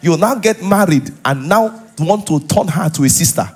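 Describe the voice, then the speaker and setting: Male pastor, middle-aged, Nigerian accent. High-pitched, husky delivery, conversational sermon with repeated rhetorical questions, headset mic on stage. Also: animated, normal speed, darkish tone. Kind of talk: sermon to a live congregation. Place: large church auditorium, on stage.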